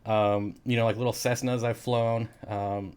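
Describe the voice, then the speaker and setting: A man's voice: on the low side, flat delivery, a little mellow, clean tone. Hesitant man in casual podcast chat. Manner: hesitant, halting with fillers. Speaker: podcast co-host.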